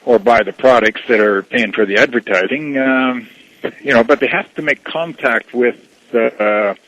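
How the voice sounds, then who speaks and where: A man on a phone call, answering casually as a church representative, in a measured, guarded, explanatory way. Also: mid-range voice, fairly bright tone.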